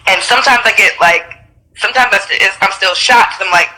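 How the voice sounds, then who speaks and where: A woman in her thirties, a reality TV personality and singer, chatting in a casual interview with a stuffy nose, animated and slangy, laughing often. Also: mid-range voice, fast, rough recording.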